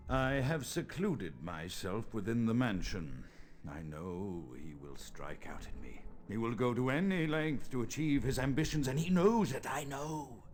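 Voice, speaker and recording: An actor playing a paranoid, refined vampire doctor in a video game, confessing his dread in a formal, theatrical diary monologue. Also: medium pitch. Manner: formal, florid, theatrical diction. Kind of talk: dramatic recorded diary monologue.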